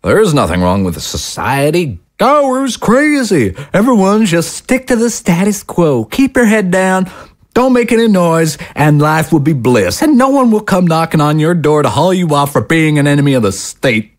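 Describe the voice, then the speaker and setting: A man's voice: fairly high pitch, very animated, normal speed. A man playing a self-righteous, paranoid talk-show host husband in an audio drama, ranting and condescending. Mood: mocking, heated. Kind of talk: dramatic audio screenplay acting.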